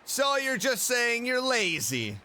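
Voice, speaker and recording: Animated, bright, high-pitched male streamer. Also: slow. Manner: exclamatory, joking. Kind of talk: casual reaction commentary over gameplay. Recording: faint game music behind.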